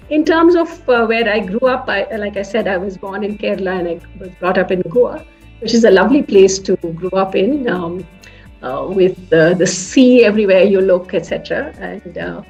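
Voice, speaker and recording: Fifties Indian woman, South Indian English accent, higher voice, recorded far off with dull lo-fi sound. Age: 50s. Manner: reflective, many "uh" fillers and restarts. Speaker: senior tech executive. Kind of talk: interview recollection.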